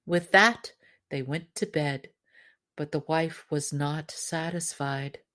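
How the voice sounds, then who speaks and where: A woman narrating a fairy tale as an expressive storyteller. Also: low-pitched, slow. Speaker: storyteller, podcast reader.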